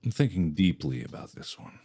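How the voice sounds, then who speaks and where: Casual man with lively intonation. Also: sits low, brisk, breathy edge, no echo, studio quality. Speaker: podcast co-host and contestant. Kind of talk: casual comedy banter.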